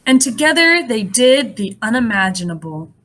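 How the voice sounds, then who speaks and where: Animated woman teacher with a high voice. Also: normal speed. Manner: animated, encouraging, child-directed. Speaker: first-grade teacher. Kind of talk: read-aloud and lesson addressed to young children.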